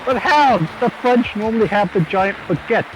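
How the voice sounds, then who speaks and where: A man joining casual game commentary, tossing in quick wisecracks. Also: high-pitched, low-quality recording. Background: game music.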